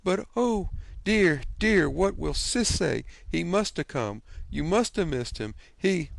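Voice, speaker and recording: A fussy, excitable older farm wife, as a man puts her on, her voice pitched up high and gushing in quick breathless runs. Her tone has a gravelly edge, and the sound is dry and close. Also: no room sound, exaggerated voice. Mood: fretful.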